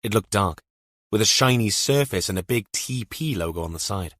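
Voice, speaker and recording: A man's voice: on the low side, lively intonation, moderate pace, a bit bright, dry recording, studio quality. A man narrating a scripted thriller audiobook.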